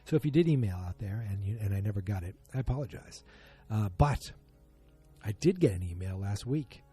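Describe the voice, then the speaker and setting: A man's voice: lowish voice, animated, fairly quick, dark tone, husky, soft background music. A man hosting a solo podcast, chatting casually in a rambling, self-deprecating, joking way. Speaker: podcast host.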